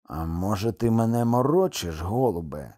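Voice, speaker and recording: A man's voice: on the low side, expressive, normal speed, dark tone. Man acting out a wary, drawling boatswain. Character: wary, slow-talking ship's boatswain, skeptical drinking companion.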